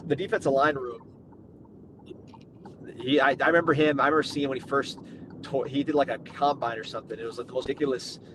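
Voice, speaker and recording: A male podcast host breaking down football recruiting in a loose, rambling way, often restarting his sentences and drifting into asides. His voice sits at an ordinary pitch. Clear background noise hums under the recording. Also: fairly even intonation, fast talker, pauses often. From Cuban heritage. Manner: rambling, self-interrupting, conversational. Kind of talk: casual sports podcast chat.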